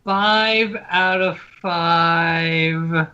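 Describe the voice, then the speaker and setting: A man's voice: a little high, steady tone, very slow, pushed and bright, smooth. A man chatting casually and gigglingly as a guest reviewer on a podcast. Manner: giggly, laughs through sentences. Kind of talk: casual chat. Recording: dull lo-fi audio.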